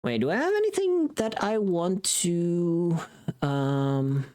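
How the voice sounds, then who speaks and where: Casual livestream host: playful and teasing, very animated pitch, slow rambling delivery. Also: clear, resonant tone. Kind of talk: casual chat with live viewers.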